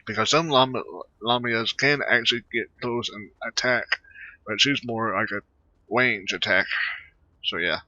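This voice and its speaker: A man comments casually on a video game in a very bright, forceful voice. He rambles haltingly and repeats himself, and the recording is echoey and slightly dull. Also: mid-range voice. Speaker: gaming video creator. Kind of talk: casual let's play commentary. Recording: very roomy, slightly dull microphone sound.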